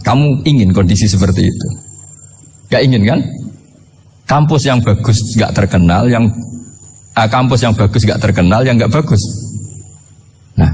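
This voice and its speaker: A man's voice: fairly low pitch, darkish tone, a little hiss or hum. A man with a Javanese Indonesian accent giving an informal Islamic lecture, conversational, putting rhetorical questions to his listeners and mixing in Arabic quotations. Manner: mixes Arabic quotations and regional words into speech. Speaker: Islamic preacher. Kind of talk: informal religious lecture to an audience.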